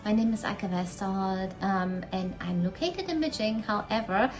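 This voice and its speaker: A middle-aged woman from Germany, introducing herself and her career in a measured, personal way. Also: mid-range voice, low-quality recording. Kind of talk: recorded self-introduction.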